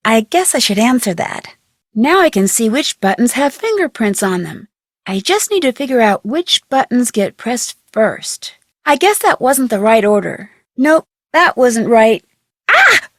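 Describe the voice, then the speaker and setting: A young American amateur detective for an adventure game. She is inquisitive and chirpy, with a high, lively voice that rises and falls as she puzzles things out loud. The sound is close and dry. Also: a little slow, no echo, exaggerated voice. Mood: excited, startled. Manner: clearly enunciated, inquisitive musing to herself. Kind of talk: scripted adventure game character lines, thinking aloud.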